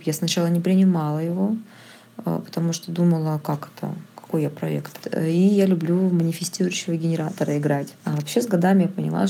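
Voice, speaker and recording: Woman w a low warm voice, hesitant, lots of uh pauses, casual. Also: vocal fry. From Russia. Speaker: photographer. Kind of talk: casual personal testimony.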